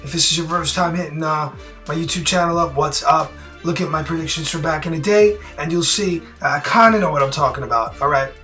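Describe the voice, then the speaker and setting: Raspy hoarse guy rambling his opinions, kinda high and bright, some music under it. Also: brisk. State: hoarse from yelling. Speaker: boxing prediction vlogger. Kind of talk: casual direct-to-camera talk.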